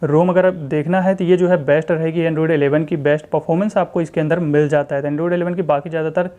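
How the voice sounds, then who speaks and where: A man's voice: fairly high pitch, fairly even intonation, brisk, warm tone. A man with an Indian accent giving a casual tech walkthrough, explaining things to viewers directly and repetitively. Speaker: tech channel host reviewing phone software. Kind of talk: casual screen-recording walkthrough commentary.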